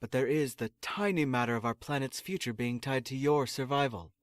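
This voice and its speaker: A man's voice: medium pitch, brisk, dry recording, studio quality, lightly acted. A man playing an exasperated, officious assistant in a sci-fi comedy audio drama, formal, sarcastic and condescending. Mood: sarcastic, impatient. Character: exasperated, officious male assistant prepping space recruits.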